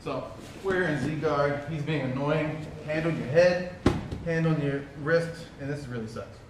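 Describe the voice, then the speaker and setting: A man's voice: on the high side, measured pace. A man hosting a casual podcast about jiu-jitsu, chatting loosely and then explaining a technique step by step. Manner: laid-back, explains with fillers like "you know". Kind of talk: casual chat and technique demonstration. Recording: echoey room with background noise.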